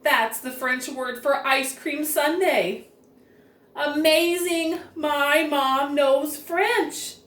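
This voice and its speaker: A woman's voice: sits high, steady tone, drawn-out words, a bit bright. A woman reading a picture book aloud expressively, enunciating with care for young children. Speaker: children's story reader. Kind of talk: expressive storybook read-aloud. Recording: roomy echo, distant microphone.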